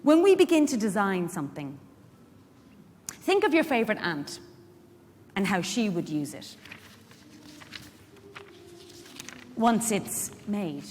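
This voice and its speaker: Animated Irish woman acting a frank, wry carer. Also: medium pitch, slight background noise. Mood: earnest, persuasive. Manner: conversational, pointed rhetorical questions. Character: chatty, frank, wry woman carer looking out for elderly clients. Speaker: actor in a dramatic reading. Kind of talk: theatrical monologue addressed to a live audience. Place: large indoor hall at a public event. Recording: echoey hall, live stage reading.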